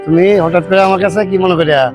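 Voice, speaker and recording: Fast-talking shrewd Bangladeshi moneylender played by a man. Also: sits high, fairly even intonation, natural voice. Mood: calculating. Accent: rural Bangladeshi. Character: shrewd village moneylender boss, commanding, coolly businesslike. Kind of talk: naturalistic Bengali TV drama acting. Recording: music behind the dialogue.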